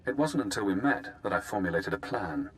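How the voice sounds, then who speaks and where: An actor playing a coolly persuasive, calculating escaped prisoner in a video game, speaking in a measured, formal way. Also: male voice, fairly low pitch, lively intonation, smooth, lightly acted. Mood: smug, calm. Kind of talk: video game dramatic acting.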